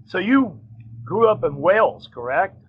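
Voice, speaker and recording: A male host talking in a measured, matter-of-fact way in a casual interview. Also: high-pitched, very animated, husky. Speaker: podcast host. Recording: dull lo-fi audio with faint noise.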